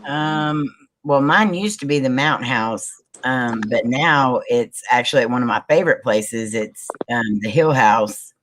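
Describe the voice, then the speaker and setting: A woman with a low voice, a paranormal investigator, telling a story on a group video call with many ums and restarts. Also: unhurried, a little hiss or hum. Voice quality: low, manly-sounding voice. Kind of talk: casual group video chat.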